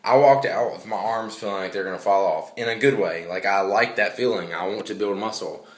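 A man's voice: on the low side, a little fast, echoey room. A young man vlogging from home, rambling casually with lots of fillers and restarts. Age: young adult. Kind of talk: casual vlog monologue. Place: small hallway at home at night.

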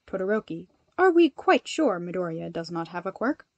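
A woman's voice: fairly low pitch, very animated, normal speed, no room sound, lo-fi sound, voice pushed down. A woman voicing a stiff, earnest young hero leader who speaks formally and emphatically. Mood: formal, concerned.

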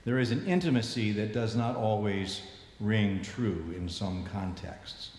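A man in his sixties, a bishop giving a measured, reflective sermon in a large church sanctuary, his voice falling low at the ends of phrases. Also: darkish tone, slight background noise. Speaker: bishop preaching. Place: large church sanctuary, standing before the altar. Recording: echoey church with long reverb.

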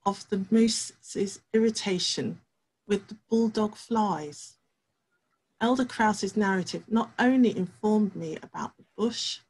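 Woman reading a careful academic talk, husky. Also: mid-range voice, a little mellow, low-quality recording. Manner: measured, careful reading with pauses. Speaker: researcher. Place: home study with bookshelves.

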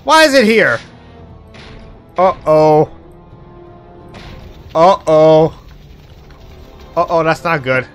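A man's voice: on the high side, big pitch swings, extremely slow, pauses often, faint music behind. A young man with a cold, coughing now and then, chatting casually as he hosts a game playthrough. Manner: chatty, reacts loudly to surprises. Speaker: let's play host. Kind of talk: casual gameplay commentary.

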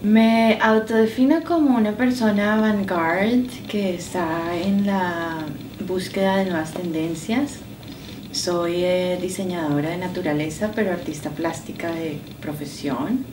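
A woman in her mid-thirties with a Colombian accent answers interview questions about herself, pausing between short phrases. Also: on the low side, fairly even intonation. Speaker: artist and designer being interviewed. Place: indoors in a penthouse. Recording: roomy, distant mic, clear background noise.

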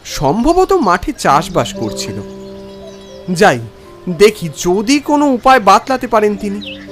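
A man tells a scripted story in the first person. His voice is high and moves with lively, dramatic expression. Faint music runs underneath. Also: slow.

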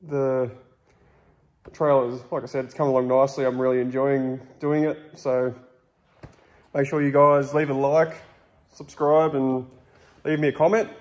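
Australian man, 30s, hoarse with a cold, rambling and casual, phone held at arm's length, big metal garage. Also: medium pitch, unhurried, pauses often. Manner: rambling and relaxed, many ums. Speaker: vlogger. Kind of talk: casual workshop vlog. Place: large metal-walled garage workshop, indoors.

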